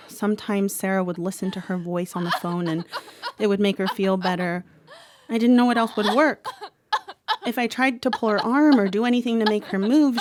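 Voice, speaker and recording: A woman playing a troubled, protective teenage girl who narrates a horror audio drama in a measured, dramatic way. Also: medium pitch, a little hiss or hum, natural voice. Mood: wistful, tender. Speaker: actor narrating. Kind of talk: first-person horror audio drama monologue.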